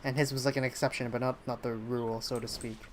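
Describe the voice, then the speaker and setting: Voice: mid-range voice, flat delivery, fast talker, slight background noise. A game streamer chatting casually on a livestream, hesitant and rambling while thinking aloud. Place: indoors at a streaming setup.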